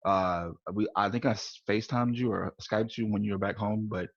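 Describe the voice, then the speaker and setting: A man's voice: on the low side, steady tone, normal speed, no room sound, highs cut off. A man from the United States hosting a podcast interview, friendly and encouraging toward his guest. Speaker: podcast host. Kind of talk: casual interview conversation.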